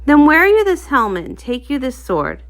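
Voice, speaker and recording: Commanding female pony captain for a storybook, acted by a woman, stern, ceremonious, fairly high-pitched. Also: wildly swooping pitch, normal speed, exaggerated voice. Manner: formal, ceremonious.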